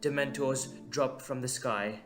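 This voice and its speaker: A man narrating a dramatic story in short, measured, suspenseful phrases. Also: mid-range voice, steady tone, loud music behind the voice.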